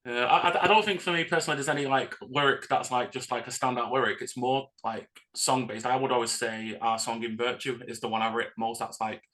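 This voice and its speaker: A man's voice: mid-range voice, steady tone, brisk, few pauses, fairly bright tone. A man who sings in a band, chatting casually and informally in an interview. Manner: informal, slangy, conversational. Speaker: band vocalist and lyricist.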